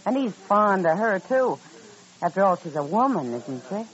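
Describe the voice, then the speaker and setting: An actress playing a worldly yet wistful American frontier woman in an old radio western, plain-spoken and expressive. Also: medium pitch, moderate pace, lightly acted. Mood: matter-of-fact. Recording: dull old-time radio recording.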